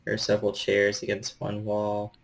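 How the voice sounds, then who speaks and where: A man working as storyteller, narrating a horror role-playing game in a measured, descriptive way. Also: fairly low pitch, monotone, creaky.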